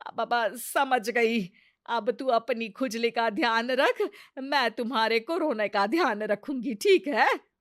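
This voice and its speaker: A woman's voice: fairly high pitch, takes it slowly, no echo, studio quality. A woman playing a stubborn, hot-tempered village mother-in-law in a comedy cartoon, scolding with blunt retorts. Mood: wry, threatening. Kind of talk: Hindi comedy cartoon dubbing.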